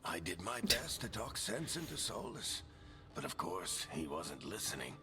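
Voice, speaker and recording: Wounded fantasy game mentor: wry and fatherly, very low voice, unhurried but highly animated, music underneath. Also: exaggerated voice. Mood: wry, weary. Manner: wry, encouraging. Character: wounded, wry, fatherly veteran companion resting in bed. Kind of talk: fantasy video game acting.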